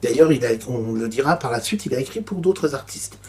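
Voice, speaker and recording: A man's voice: medium pitch, animated, a little fast, darkish tone. A man co-hosting a radio music show, reading out an artist's career and chatting about it. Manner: reads facts aloud, then comments in an easy chatty way. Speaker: radio co-host.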